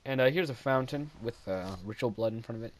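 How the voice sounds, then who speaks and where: A man's voice: medium pitch, quick pace, poor audio. Giggly young man rambling over gameplay. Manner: rambling, self-deprecating, giggly. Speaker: gameplay commentator. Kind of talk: casual game commentary voice-over.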